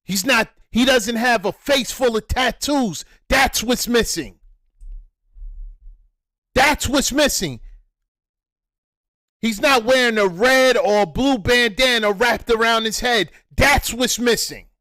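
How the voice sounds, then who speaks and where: Emphatic high-voiced man, slow and preachy. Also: pushed and bright, dry recording. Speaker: reaction commentator. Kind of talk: reaction commentary.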